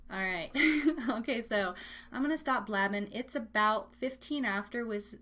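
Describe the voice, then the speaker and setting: A woman's voice: a little high, fairly bright tone. A woman hosting a live online radio show in casual, rambling, chatty talk, often poking fun at herself.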